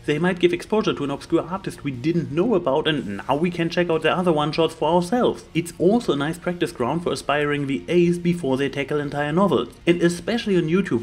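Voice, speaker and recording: Higher-pitched man narrating over music. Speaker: YouTube video host. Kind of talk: scripted opinion commentary over slides.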